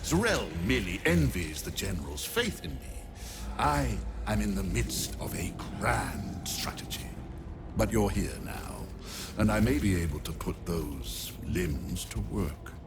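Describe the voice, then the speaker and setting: An actor playing a pompous, scheming necromancer in a video game, condescending and theatrical in his deliberate speech. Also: sits low, very animated, vocal fry, noisy background, full character voice. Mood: smug condescension. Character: pompous, scheming male necromancer, haughty and contemptuous.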